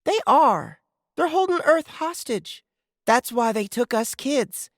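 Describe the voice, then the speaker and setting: A bright little girl of eight for a science fiction audiobook. The voice is on the high side and earnest, with a shy, easily wounded streak, recorded very dry. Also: lively intonation, takes it slowly, dry recording, heavily acted. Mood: earnest, insistent.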